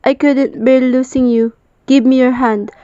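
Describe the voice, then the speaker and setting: Young noble bride played by a woman, high voice, devoted and earnest, dry close sound. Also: steady tone, measured pace, mellow, dry recording, highs cut off. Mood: tearful relief. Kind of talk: readers' theater of a folk epic.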